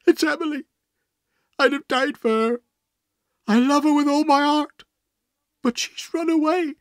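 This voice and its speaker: A man's voice: extremely high pitch, unhurried, no echo, clean studio sound, pitched above the performer's own voice. A man voicing an honest young working man in a storybook, anguished, pleading and in despair. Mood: sobbing, desperate.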